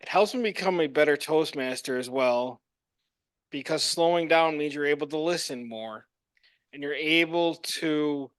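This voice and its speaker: Smooth-voiced man giving a measured speech. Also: a little high, a bit bright, no room sound. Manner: earnest, measured, reflective. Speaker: club member giving a prepared speech. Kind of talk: prepared speech. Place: meeting room.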